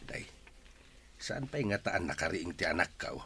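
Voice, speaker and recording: A man's voice: low voice, very animated, moderate pace, pushed and bright, slightly rough, exaggerated voice. A man playing a worried, consoling father in an emotive, pleading way for an audio drama. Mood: concerned. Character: worried, consoling father of a heartbroken grown son. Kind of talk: Filipino audio drama acting.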